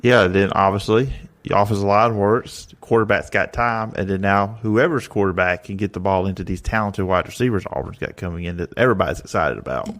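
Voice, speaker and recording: A man with a fairly low voice hosts a casual sports talk show. He asks his guest questions in a relaxed, conversational manner. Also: a little fast. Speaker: podcast host.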